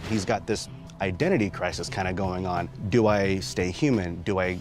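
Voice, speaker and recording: A man's voice: low-pitched, moderate pace. A man speaking in interview style as a filmmaker about the story he worked on. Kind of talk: interview commentary on a film. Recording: music behind.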